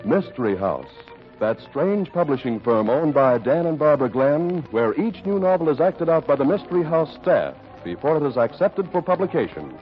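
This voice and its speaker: A man playing a formal, dramatic old-time radio mystery announcer, narrating in a theatrical, measured manner. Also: fairly high pitch, very animated. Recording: muffled old radio, music behind.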